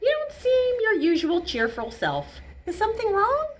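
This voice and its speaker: A woman's voice: extremely high pitch, huge pitch swings, moderate pace, pitched above the performer's own voice, full character voice. A woman voicing a kindly old male porcupine uncle in a children's storybook, encouraging and emphatic. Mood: kindly, reassuring.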